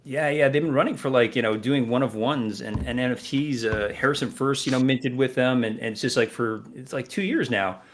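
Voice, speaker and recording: A man co-hosting an online music radio show, chatting casually with energy and jokes, often pausing on fillers. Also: mid-range voice, slight background noise. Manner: energetic, joking, lots of fillers. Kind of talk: casual chat.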